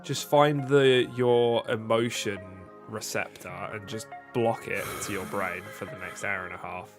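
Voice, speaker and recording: A young man co-hosting a podcast in casual chat, teasing his co-host in a dry, deadpan way. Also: fairly low pitch, measured pace, music in the background.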